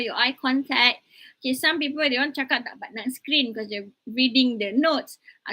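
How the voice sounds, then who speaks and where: A woman's voice: fairly high pitch, bright, forceful tone, poor audio. A young woman lecturer with a Malaysian accent, giving an online class on presentation skills in a chatty, teasing way, mixing English and Malay.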